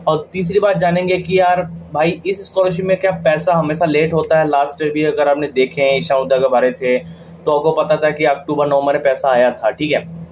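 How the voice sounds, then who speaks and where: A man's voice: fairly high pitch, level tone, a little fast, a little mellow, slight background noise, poor audio. A man with an Indian accent giving an informal explainer to viewers, chatty and colloquial, often checking they follow. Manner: colloquial, addresses viewers like friends, frequent check-in tags. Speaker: video host giving scholarship updates.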